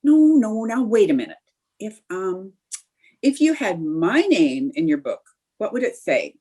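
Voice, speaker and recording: A woman playing an eager, scheming, chatty young housewife in an old-time radio comedy, with a husky voice. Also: mid-range voice, very animated, measured pace, a little mellow. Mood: teasing, curious. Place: indoors, remote video call.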